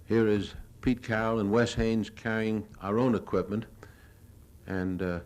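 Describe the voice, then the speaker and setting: An older American man giving a lecture, narrating his own war film in a measured, plain-spoken, reminiscing way. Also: fairly low pitch, steady tone, darkish tone. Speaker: newsreel reporter giving a lecture. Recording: 1970s archival lecture audio recording.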